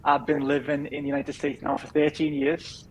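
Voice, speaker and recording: A man in his thirties from England with a softened Liverpool accent, chatting easily as a podcast guest with lots of fillers. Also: on the high side, monotone, moderate pace, slight background noise, rough recording. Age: 30s. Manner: easygoing, rambling with many fillers. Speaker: podcast guest, business founder. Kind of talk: casual interview chat.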